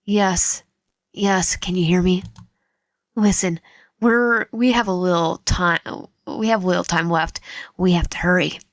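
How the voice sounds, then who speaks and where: A woman's voice: on the low side, unhurried, no echo, clean studio sound. A woman voicing a courteous, earnest young prince in a visual novel, polite in manner. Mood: urgent. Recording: music behind the voice.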